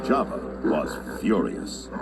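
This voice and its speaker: A man's voice: low voice, big pitch swings, slow pace, loud music behind the voice. A man reading scripted, dramatic storybook narration.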